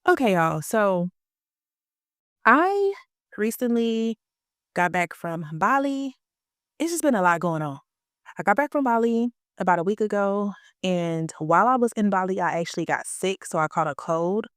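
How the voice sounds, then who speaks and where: A woman's voice: mid-range voice, expressive, moderate pace, clear, resonant tone, dry recording, studio quality. A woman in her early thirties hosting a motivational podcast, talking in a chatty, emphatic, slangy way, a little stuffy from a cold. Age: 30s. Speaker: podcast host. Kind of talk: casual motivational podcast talk.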